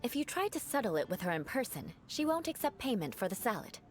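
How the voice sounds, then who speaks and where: A proud noble knight, formal and haughty, promising revenge in a dry, teasing tone. Her voice sits a little high and swings widely. Faint game music plays under her lines. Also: sing-song, fast talker. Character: proud aristocratic female knight, vengeful, aloof. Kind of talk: video game dialogue.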